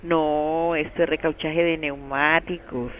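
A woman's voice: low voice, level tone, slow pace. A woman with a Colombian accent, a shop employee answering a call politely and formally. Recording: muffled phone line with faint noise.

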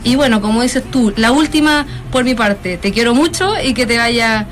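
A woman giving a warm, earnest farewell message to a colleague. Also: a little high, moderate pace. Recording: faint music behind.

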